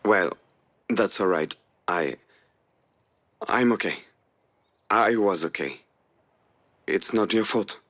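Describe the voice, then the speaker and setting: A man's voice: low-pitched, lively intonation, takes it slowly, long pauses, fairly bright tone, exaggerated voice. An actor playing a guarded, hurting man in a video game drama, protective and self-effacing, with a hesitant, defensive way of talking. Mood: subdued, reassuring. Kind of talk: naturalistic dramatic visual novel voice acting.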